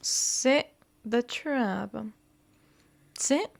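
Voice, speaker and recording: A woman's voice: a little high, animated, drawn-out words, creaky. A woman reading a visual novel aloud as a game streamer, stumbling over words and breaking off into blunt, playful commentary. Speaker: game streamer doing a walkthrough.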